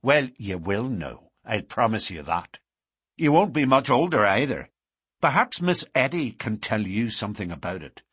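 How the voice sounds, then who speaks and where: A young male villain from a storybook, acted by a male reader. He is savage and domineering, with a husky, lively-pitched voice and a curt, menacing delivery. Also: normal speed, dry recording, clean studio sound, full character voice. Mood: furious.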